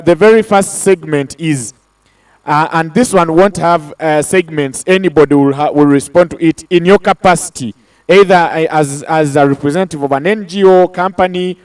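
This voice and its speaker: Loud talkative male moderator. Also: on the high side, recorded from a distance, low-quality recording. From Africa. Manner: loud, talkative, addresses audience directly. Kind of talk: moderating an online panel.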